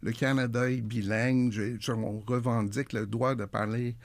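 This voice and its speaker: A man's voice: medium pitch, steady tone, moderate pace. A man giving interview answers in a firm way, stumbling over repeated words. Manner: firm, stammers on restarts. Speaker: interview guest.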